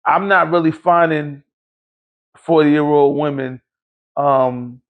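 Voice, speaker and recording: A man's voice: on the high side, fairly even intonation, slow, narrow, tinny audio. A man in his late forties hosting a podcast, telling a long, rambling, profane story in casual chat. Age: 40s. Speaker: podcast host.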